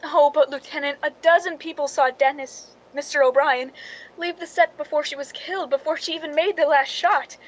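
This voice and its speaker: A woman's voice: high voice, fairly even intonation, normal speed, lightly acted, rough recording. An actress playing a film stand-in who narrates her own crime story in a suspense radio drama, speaking in a dramatic first person. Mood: protesting.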